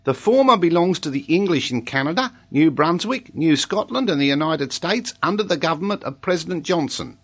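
A very high, childlike voice of an earnest schoolboy. He recites storybook answers matter-of-factly, in tidy lists, with unshakable confidence. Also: lively intonation, a little fast, hardly any pauses. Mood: earnest, assured.

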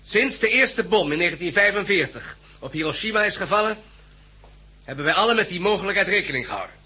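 A Dutch man acting in a 1960s radio drama as an earnest, resolute committee chairman, speaking in formal, measured oratory. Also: high-pitched, expressive, sharp tone, husky, heavily acted. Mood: solemn, resolute. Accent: Dutch, Netherlands.

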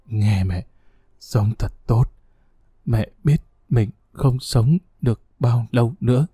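A man voicing a dying old mother who speaks in a faint, halting whisper. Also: mid-range voice, dark tone, breathy edge, no room sound, studio quality. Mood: weak, tender.

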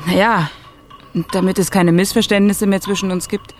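A woman's voice: medium pitch, fairly even intonation, normal speed, close to an everyday voice. Radio play: actress as young female volunteer, blunt and colloquial, mid-range voice, light music bed. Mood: teaching. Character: blunt, casual young German volunteer hospital visitor.

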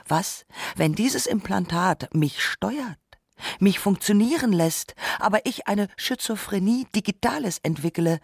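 Gravelly woman reading a radio essay. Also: medium pitch, lively intonation, a little slow, no echo, studio quality. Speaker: radio play performer. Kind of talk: scripted literary radio essay, dramatic reading.

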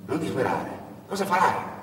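A man acts out a tall thin stranger in a theatre monologue. He is quiet, confiding and inquisitive. The voice sounds distant and echoey over faint noise. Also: a little high, sing-song, normal speed. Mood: consoling, probing. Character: very tall, thin street stranger, low-key and coaxing. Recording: echoey hall from far off, noisy.

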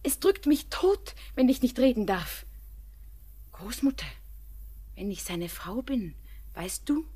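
A young woman playing an anxious, devoted bride in a German radio drama, breathy and often near a whisper, with tender, fervent, poetic delivery. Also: fairly high pitch, big pitch swings, takes it slowly, pauses often, warm tone, heavily acted. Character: anxious, devoted young bride keeping watch over her beloved.

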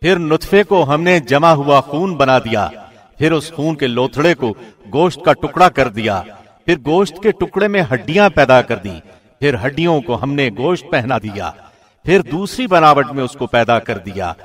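A man reading a scripture translation in a formal, measured and solemn radio presenter's style. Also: medium pitch, lively intonation. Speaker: translation reader, radio host.

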